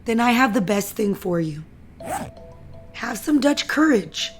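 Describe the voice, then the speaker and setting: High-voiced, coaxing soap opera schemer woman. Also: animated, measured pace, soft background music. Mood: seductive coaxing. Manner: coaxing, insistent. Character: manipulative, needling woman coaxing a stressed man. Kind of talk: audio soap opera acting.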